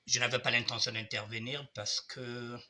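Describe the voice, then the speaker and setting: This is an older man with a cold who hosts a commentary show. His voice is strikingly bright and sits at an ordinary male pitch. He addresses his listeners formally and repeats himself for emphasis. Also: fairly even intonation, moderate pace. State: has a cold. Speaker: online show host. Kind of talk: monologue commentary.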